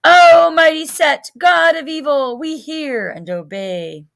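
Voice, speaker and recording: A woman's voice: sits high, slow pace, gravelly, pitched above the performer's own voice, heavily acted. Woman putting on a theatrical, swooping, imaginative bossy girl. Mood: dramatic chanting. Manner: theatrical, excitable. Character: bossy imaginative girl chanting as dramatic high priestess.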